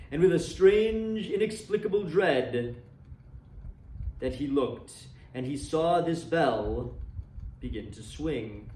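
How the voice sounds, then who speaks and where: A man reading a story aloud in a dramatic, expressive style with measured phrasing. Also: fairly high pitch. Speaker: library volunteer reader and host. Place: indoor room decorated for Christmas, seated. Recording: roomy echo, distant microphone.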